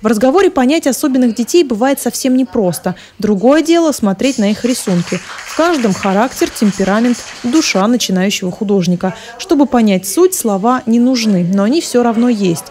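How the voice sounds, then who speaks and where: A woman's voice: on the high side, lively intonation, fairly quick, hardly any pauses, a little mellow. A woman reporter reading scripted narration for a television news report.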